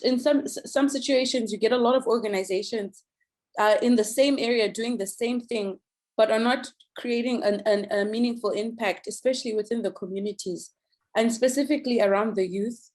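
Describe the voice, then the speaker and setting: A young woman from Zimbabwe giving an earnest, thoughtful contribution in an online meeting, pausing often with ums and restarts. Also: a little high, a little mellow. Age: young adult. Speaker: youth summit participant.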